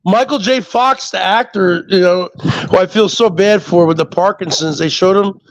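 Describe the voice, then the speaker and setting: A man trading crude, profane jabs in casual banter, loud and mocking, often mimicking others. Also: high-pitched, moderate pace, no echo, lo-fi sound. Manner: brash, profane, mocking, does mimicking voices.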